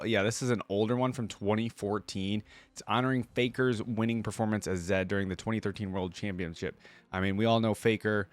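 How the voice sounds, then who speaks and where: A man with a fairly low voice hosts a reaction video in casual, slangy commentary. He thinks out loud in an informal way, and the recording is dry and close, with little room sound. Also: dry recording.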